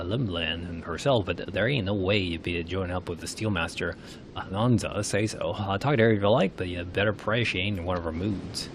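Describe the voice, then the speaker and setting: A man voicing a gruff, taunting smith with a mocking, folksy dialect in a fantasy game story. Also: deep voice, slight background noise. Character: gruff taunting smith sneering at would-be adventurers.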